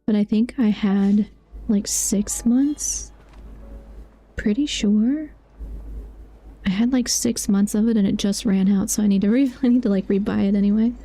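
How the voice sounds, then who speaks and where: A woman's voice: mid-range voice, unhurried, long pauses, mellow. A sleepy-sounding woman chatting casually on a game stream, rambling and thinking aloud. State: sleepy, yawning. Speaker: live streamer.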